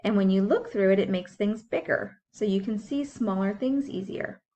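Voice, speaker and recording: A woman's voice: medium pitch, moderate pace, mellow, slight background noise. A woman teacher reading a science book aloud for young children, explaining things simply and putting questions to her listeners. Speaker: school teacher.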